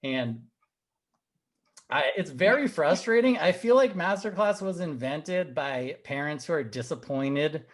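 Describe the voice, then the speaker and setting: A young man doing stand-up over a video call, dry and self-mocking with quick punchlines. His voice sits a little high, and the audio has a plain home-computer sound. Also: animated. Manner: self-deprecating, punchy one-liners. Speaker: comedian and host. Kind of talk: stand-up comedy set.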